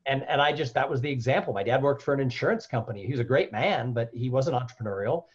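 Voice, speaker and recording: A man with a loud, animated storytelling style, talking as a guest on a podcast interview. His voice is a bit husky at a middling pitch. The audio is dull and lo-fi. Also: fast talker. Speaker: guest, keynote speaker.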